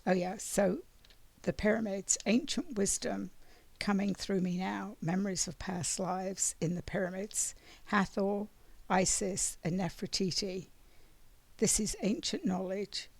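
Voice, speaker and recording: A raspy-voiced woman giving a spiritual monthly oracle card reading, meandering and often clearing her throat. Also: medium pitch, measured pace, dry recording.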